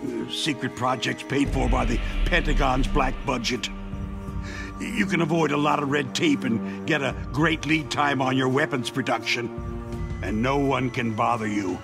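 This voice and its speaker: Man acting a gravelly, cynical arms executive. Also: medium pitch, fairly even intonation, normal speed, over music, lightly acted. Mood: grim, explanatory. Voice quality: creaky, gravelly. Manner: condescending, explanatory, weary. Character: wounded, cynical male arms company executive. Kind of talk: video game voice acting.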